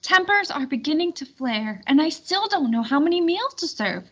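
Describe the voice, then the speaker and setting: A woman's voice: high voice, sing-song, normal speed, dull audio, voice pushed up. A woman voicing a harried, fretful medieval lady planning a feast in a storybook. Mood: flustered. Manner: exclaiming, fretful.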